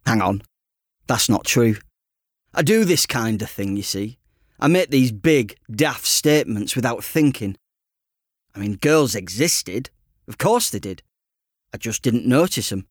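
A man reading an audiobook in the first person as a teenage boy, conversational, self-deprecating and expressive. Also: mid-range voice, dry recording, clean studio sound. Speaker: author reading his own audiobook.

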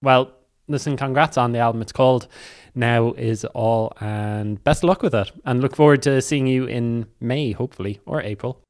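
A man's voice: medium pitch, moderate pace. A man interviewing someone in an informal, friendly, conversational way. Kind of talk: casual interview chat.

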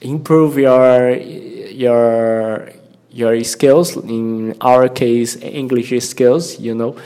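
A man from Brazil with a Brazilian Portuguese accent, hosting an informal English-learning lesson, haltingly searching for words and repeating himself. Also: medium pitch, steady tone, warm tone, clear, resonant tone. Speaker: language-learning podcast host.

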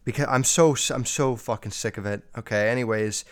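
A man's voice: mid-range voice, fairly quick. A young man hosting a casual solo podcast, rambling and swearing a lot, sounding slightly nasal and a bit under the weather. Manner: rambling, heavy swearing, many fillers. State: feeling sick. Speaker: podcast host. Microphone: podcast microphone.

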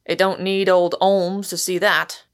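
A cheeky Cockney fellow from a storybook, acted out by a woman, jovial and blunt. The delivery is breezy and mocking, with plenty of gravel in it. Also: lowish voice, flat delivery, a little slow. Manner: breezy, slangy, cheerfully mocking.

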